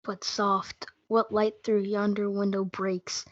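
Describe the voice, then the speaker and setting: A woman's voice: mid-range voice, flat delivery, slow pace, no echo, lightly acted. Student reader's theatre: lovestruck, yearning young man, played by a female performer. Earnest, dry lo-fi sound. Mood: adoring. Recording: dull lo-fi audio.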